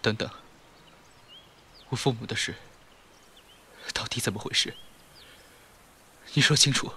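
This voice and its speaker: A man's voice: mid-range voice, measured pace. A man playing an anguished young male captive in an audio drama. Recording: echoey, loud music behind.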